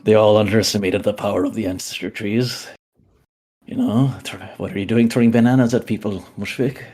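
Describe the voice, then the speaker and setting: Man as tabletop rebel: theatrical, defiant, rambling monologue, lowish register. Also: fairly even intonation, a little fast, a little mellow, close to an everyday voice. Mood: defiant, taunting.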